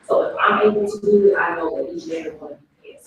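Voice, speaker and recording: A woman's voice: on the low side, fairly even intonation, very fast, lo-fi sound. A woman panelist giving an earnest, emphatic and encouraging testimonial at a live event. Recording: very echoey room, distant mic, clear noise.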